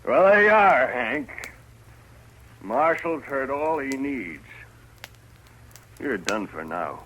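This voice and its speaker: An American actor playing an arrogant, threatening rich townsman in a 1950s radio western, gruff, curt and imperious. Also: medium pitch, very animated, takes it slowly, fairly bright tone. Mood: sullen. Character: arrogant, threatening rich frontier town big man. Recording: noisy old 1950s radio recording.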